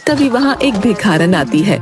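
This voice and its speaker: A woman's voice: mid-range voice, sing-song, moderate pace, over music. A woman reading scripted narration for a comedy cartoon story.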